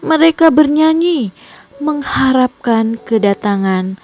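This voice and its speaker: A woman narrating a film recap in a slow, measured, scripted reading style. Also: high voice, animated, a little mellow, dull audio.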